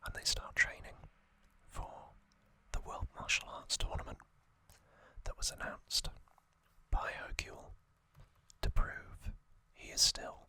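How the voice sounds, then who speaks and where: A host whispers an ASMR recap slowly and softly, with every word voiceless and breathy. The whisper has a bright, crisp edge. The delivery stays relaxed and conversational, with a few fillers. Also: low-quality recording. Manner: soft unvoiced whisper with casual fillers and asides.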